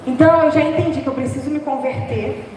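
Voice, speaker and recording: Hoarse woman preaching in an echoey church. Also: high voice, fast, darkish tone, a little hiss or hum. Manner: chatty and humorous, laughing at herself. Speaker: preacher from the dance ministry. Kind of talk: informal sermon. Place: large church hall. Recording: echoey church hall from far off.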